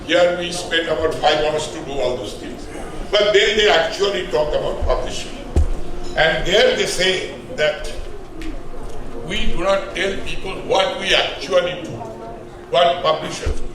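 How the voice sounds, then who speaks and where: A man's voice: on the high side, unhurried. An older man with an Indian accent giving a public speech at an event, speaking emphatically with off-the-cuff asides and repeated phrases. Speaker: publisher and book editor giving a launch speech. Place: indoor event hall at a hotel. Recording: echoey hall, far from mic, faint noise.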